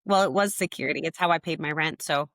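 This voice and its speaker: A woman's voice: sits low, fast, fairly bright tone, no room sound, studio quality. A young woman founder answering interview questions thoughtfully, often saying "like" and acting out her own past thoughts. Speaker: app founder, guest.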